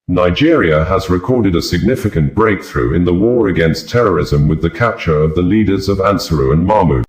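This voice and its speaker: A man's voice: low-pitched, a little mellow. A man reading scripted news reports as a news presenter, in a roomy, echoing recording. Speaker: news reader.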